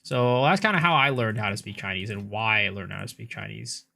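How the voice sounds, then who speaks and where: Lively man chatting on stream. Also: on the low side, brisk, creaky. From United States. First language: Cantonese. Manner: rambling, reads chat aloud, swears casually. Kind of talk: casual live-stream chat while gaming.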